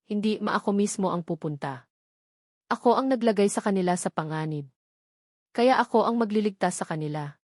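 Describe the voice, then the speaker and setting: A woman's voice: mid-range voice, brisk, pauses often, darkish tone, no echo, studio quality, natural voice. A Filipino woman narrator voicing a desperate, determined grown son and father, urgent and demanding, in a dramatic story.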